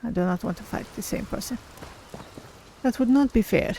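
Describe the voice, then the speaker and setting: A man voicing a proud, courteous cat-person game character with formal, measured wording while streaming. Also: high-pitched, warm tone, slight background noise. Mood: confident, curious. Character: proud, courteous Khajiit cat-person adventurer, third-person speech. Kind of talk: in-character game role-play commentary.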